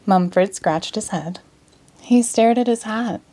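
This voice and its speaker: A woman narrating a children's storybook aloud in an expressive read-aloud style. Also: medium pitch, a little slow. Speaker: storybook reader.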